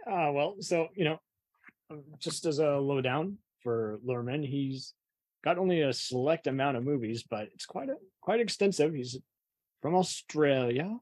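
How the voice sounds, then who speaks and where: A man's voice: a little high, animated, measured pace, darkish tone, no room sound. A man hosting a casual film discussion in conversational style. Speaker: podcast host.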